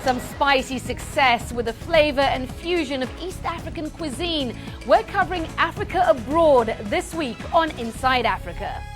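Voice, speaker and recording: A woman presenting a television news magazine, reading scripted narration in a measured, formal way. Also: sits high, lively intonation. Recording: voice-over with music behind.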